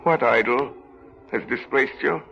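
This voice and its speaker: A man's voice: medium pitch, unhurried, creaky, slight background noise. A man acting an old miser shaken by regret in a 1930s radio drama, stammering and repeating himself. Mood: pleading, defensive. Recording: muffled old radio broadcast with faint music.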